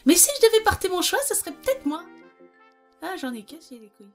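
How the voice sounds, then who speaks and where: Woman with a high voice, wry offhand answers, faint music. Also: very animated, fast talker.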